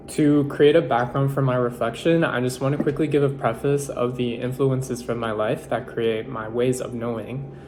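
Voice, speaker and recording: Young man from the Philippines, Tagalog first language, mid-pitched, earnest nursing-student reflection, faint hum. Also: steady tone. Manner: earnest, measured, with self-corrections and fillers. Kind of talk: reflective personal monologue.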